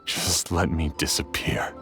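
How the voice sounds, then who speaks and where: A low, bleak voice of a withdrawn scholar in a video game. He sounds subdued and resigned, with music clearly playing underneath. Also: steady tone, unhurried. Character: despairing, withdrawn male scholar, resigned to vanishing. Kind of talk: scripted video game voice acting. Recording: music behind the voice.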